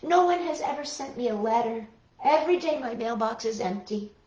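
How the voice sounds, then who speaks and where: A woman's voice: a little high, animated, moderate pace, warm tone, close to an everyday voice. Woman reading as a glum storybook toad. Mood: sad. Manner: mournful, grumbling, resigned. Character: glum, resigned male toad, storybook animal. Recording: roomy, dull lo-fi sound.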